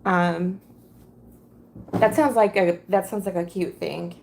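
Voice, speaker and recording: A hoarse woman hosting a casual crafting livestream, talking in a halting, rambling way. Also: lowish voice, fairly even intonation, a little mellow, a little hiss or hum.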